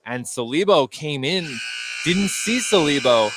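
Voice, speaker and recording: A man's voice: on the high side, expressive, measured pace. A man doing live play-by-play radio commentary of a basketball game, with short clipped calls that rise on big plays. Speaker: play-by-play sports announcer. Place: at a basketball game, gym noise behind. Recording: clearly noisy live game broadcast.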